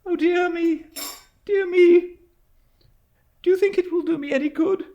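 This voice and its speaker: A man's voice: high-pitched, wildly swooping pitch, normal speed, breathy edge, lo-fi sound, pitched above the performer's own voice, full character voice. A man voicing a timid, fragile young widowed mother in a storybook reading, with a faltering, plaintive and helpless manner.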